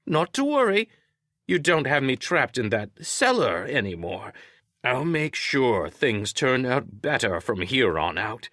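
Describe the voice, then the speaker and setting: A man's voice: mid-range voice, big pitch swings, unhurried, bright, forceful tone, no room sound, studio quality, voice pushed up, heavily acted. A man voicing a pompous, condescending old schemer in an audiobook story. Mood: smug menace. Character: sneering, imperious old man, scheming political rival.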